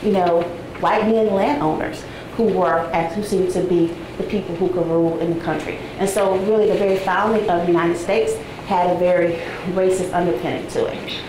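Low-voiced woman, 40s, soft-spoken guest lecturer; distant camera, very roomy echo. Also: fairly even intonation, normal speed. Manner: soft-spoken, frequent ums, conversational. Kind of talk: academic talk with slides. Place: large seminar room with audience. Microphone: far camera, no visible mic. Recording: echoey room, noisy background.

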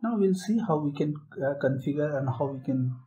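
Mellow-voiced man explaining a software demo. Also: fairly high pitch, expressive, moderate pace, highs cut off, a little hiss or hum. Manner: explanatory, step by step, with fillers. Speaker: tutorial presenter. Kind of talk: screen-share software tutorial.

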